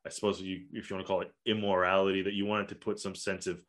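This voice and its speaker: A man's voice: deep voice, flat delivery, fast, fairly bright tone. A man in his thirties, raised in Malaysia, talking in a loose, rambling podcast chat full of fillers and restarts. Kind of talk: casual conversation.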